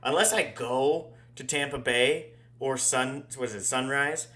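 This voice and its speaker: A man's voice: mid-range voice, unhurried, pushed and bright. A man co-hosting a podcast in casual chat, rambling, swearing and laughing often. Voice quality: ginger-haired dog owner talk, married. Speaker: podcast co-host.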